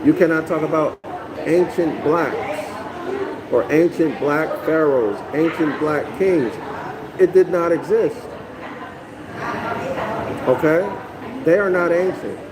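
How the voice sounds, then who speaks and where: A man from North America reads a text aloud and lectures on it to a live audience, his voice sitting a little high. He keeps checking in with listeners and restarts phrases as he explains. Steady background noise with passing traffic sits under his voice. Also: takes it slowly, long pauses. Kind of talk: live-streamed lecture, reading aloud and commenting.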